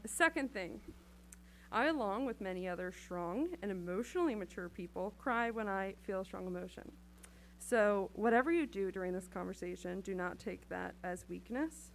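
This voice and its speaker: A woman on a city council giving a prepared, earnest speech, speaking directly and deliberately to the room. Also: medium pitch, slight background noise, low-quality recording. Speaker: council member.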